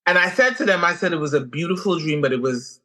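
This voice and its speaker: A middle-aged woman from Guyana recounts her story as an interview guest. Her tone is bright and forceful. Her pitch leaps when she acts out her own past reactions. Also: quick pace. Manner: animated, wide pitch swings when quoting herself. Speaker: comedian guest.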